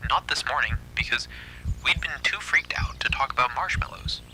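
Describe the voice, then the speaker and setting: A man's voice: mid-range voice, normal speed, bright, forceful tone, a little hiss or hum, low-quality recording. A man narrating a scripted first-person horror story.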